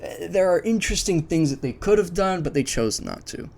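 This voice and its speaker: A man's voice: high-pitched, lively intonation, a little fast, darkish tone. Man giving casual, opinionated commentary. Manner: conversational, thinking aloud, opinionated. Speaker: video host ranking a series list.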